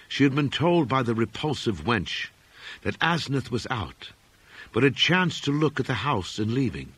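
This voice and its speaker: A man narrating gothic horror fiction as an audiobook, in a measured, expressive literary reading. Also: lowish voice, husky, no room sound.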